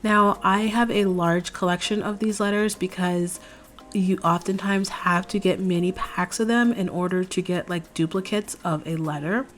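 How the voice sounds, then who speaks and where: Slightly hoarse young woman crafting host. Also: fairly low pitch, moderate pace. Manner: chatty, relaxed, explains steps plainly. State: slightly hoarse, recovering from a cold. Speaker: DIY craft channel host. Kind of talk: casual step-by-step craft tutorial voice-over. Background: faint background music.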